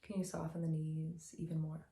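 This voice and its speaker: A woman guiding a meditation as a teacher, speaking in a gentle, soothing, unhurried way with long pauses, in a slightly echoey room. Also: low-pitched, flat delivery. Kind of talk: guided meditation, soft instructional narration.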